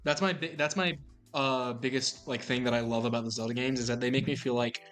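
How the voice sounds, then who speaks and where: Young male podcast co-host, excitable, rambling delivery, faint music underneath. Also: mid-range voice, a little fast. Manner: rambling, excitable, restarts often. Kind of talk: casual chat.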